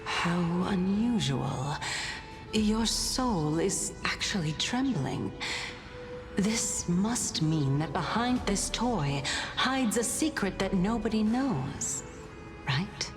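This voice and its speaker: A playful, curious female deity speaks from a long reverberant space over music. She takes her time, musing and teasing in a theatrical, slightly low female voice, as in a fantasy video game. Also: expressive, slow. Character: teasing, curious female deity of reason living in a man's mind.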